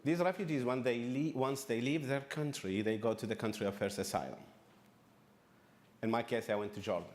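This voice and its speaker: A man from Iraq giving a panel presentation on refugee services, speaking haltingly with frequent restarts and fillers. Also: fairly low pitch.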